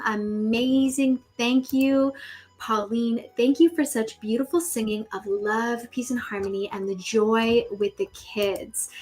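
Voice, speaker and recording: Host: woman, highish voice, effusive and gushing, reads comments from the chat. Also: a little mellow. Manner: reads viewer comments aloud. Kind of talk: livestream talk show chat.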